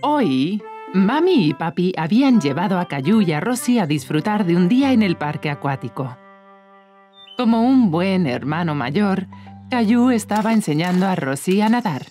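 A woman's voice: on the low side, sing-song, normal speed, warm tone, faint music behind. A woman narrator reading a scripted children's cartoon story.

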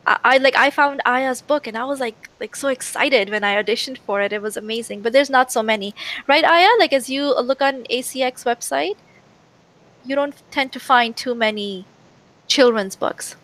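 A young Canadian woman with a soft, fairly high voice talks eagerly and giggles between phrases. She speaks into a headset mic from a small room, as in a video call interview. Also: moderate pace, poor audio. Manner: giggly, eager, repeats yes and like. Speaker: audiobook narrator.

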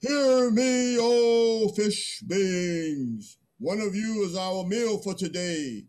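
A man's voice: high-pitched, animated, extremely slow, a little mellow, exaggerated voice. A middle-aged man playing a boastful, stubborn early human in a dramatic play reading. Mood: commanding. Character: first Man, boastful, greedy, stubborn, awkward.

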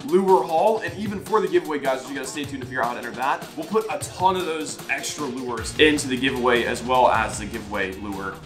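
A man's voice: mid-range voice, quick pace, few pauses, echoey room, music in the background. A young man casually vlogging, upbeat and chatty, laughing often as he talks through a shopping spree. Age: young adult. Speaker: fishing vlogger. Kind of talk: casual excited online shopping vlog. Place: living room, indoors.

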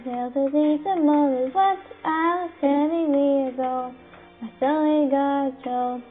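Woman cover singer with a high voice, unhurried sung phrasing. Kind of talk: singing a pop song cover. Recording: tinny phone-like sound with faint backing music.

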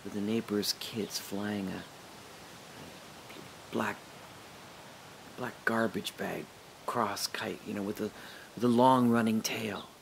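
A man performing a reflective audio drama monologue, speaking slowly and often dropping to a whisper. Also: on the low side, steady tone, a little hiss or hum. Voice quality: slow, gravelly and husky, often dropping to a whisper. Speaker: radio drama narrator and lead.

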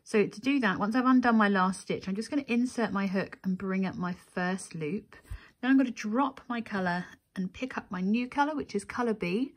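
A woman with a slightly husky voice at a middle pitch guides listeners through a craft tutorial. She goes methodically, one step at a time, and counts aloud as she works. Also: normal speed. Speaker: crochet tutorial instructor.

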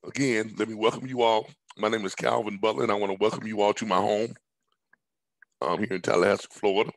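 A hoarse middle-aged man giving a rambling business pitch over a headset on a video call from home, with frequent ums, repeated words and coughs. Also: steady tone, fast, no echo. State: hoarse, recovering from illness. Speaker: business presenter. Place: indoors at home, large room with staircase.

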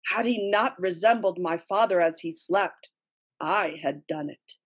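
Steely, scheming noblewoman played by a woman, slow and husky, for an amateur verse drama read-through. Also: on the low side. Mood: alarmed. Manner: measured, dramatic reading. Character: scheming, steely wife of a murderous lord. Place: small room at home, indoors. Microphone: computer mic on a video call.

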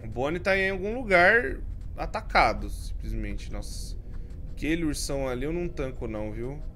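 A Brazilian man in his thirties, a streamer giving jumpy commentary as he plays a horror game, swinging from mumbles to yells. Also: a little high, very animated, normal speed, a little hiss or hum. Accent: Brazilian Portuguese. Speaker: gaming streamer. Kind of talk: let's play commentary. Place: home streaming room with colored lights.

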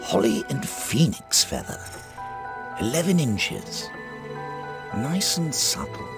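An actor playing an eerie, courteous old British wand shopkeeper in a video game, speaking deliberately with theatrical pauses. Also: male voice, mid-range voice, huge pitch swings, creaky, full character voice. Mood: instructing, brisk. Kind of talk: game cutscene acting. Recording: loud music under the voice.

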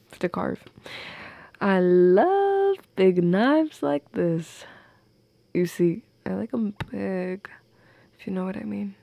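Woman doing a teasy goth gf for audio rp, soft n murmury w lots of vocal fry, close dry sound, playful. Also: mid-range voice, no echo, full character voice. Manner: teasing, coy, giggly. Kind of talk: intimate audio roleplay addressed to the listener.

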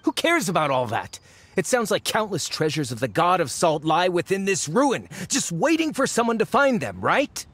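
A cheeky, greedy treasure hunter. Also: male voice, sits high, sing-song, moderate pace, fairly bright tone, slightly rough, heavily acted. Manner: cheeky, sarcastic, treasure-hungry. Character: greedy young man, cheeky and smug. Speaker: game character. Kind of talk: video game voice acting.

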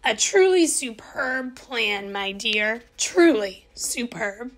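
A kindly old lighthouse keeper, read by a woman in a storybook, mild and courtly. He speaks slowly in a high voice and agrees with everything his wife suggests. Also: animated, fairly bright tone, full character voice, poor audio. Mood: agreeable.

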